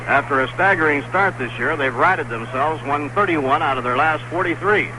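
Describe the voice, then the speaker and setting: A man calling baseball play-by-play on the radio in the 1960s, brisk and easygoing. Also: a little high. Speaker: play-by-play announcer. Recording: noisy vintage radio broadcast.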